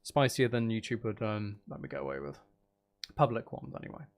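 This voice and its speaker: A man with a gravelly edge, chatting casually to viewers as he plays a game, rambling and trailing off as he thinks aloud. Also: fairly low pitch, sing-song, brisk. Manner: rambling, trailing off, muttering to himself. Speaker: streamer and audio creator chatting with viewers. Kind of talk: casual livestream gameplay chat.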